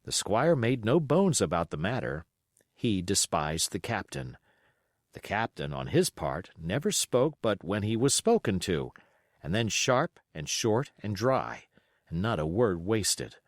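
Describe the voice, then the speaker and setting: A male audiobook reader narrates in an expressive literary style. His voice sits a little low but moves through a wide range of pitch, and the recording is dry. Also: unhurried, no room sound.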